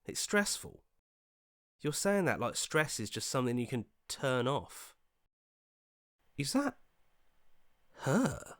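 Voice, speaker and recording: A man's voice: mid-range voice, very animated, takes it slowly, long pauses, dry recording, studio quality. An actor playing an anxious, earnest young male hero in a video game, hesitant and pleading, sometimes stammering. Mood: flustered.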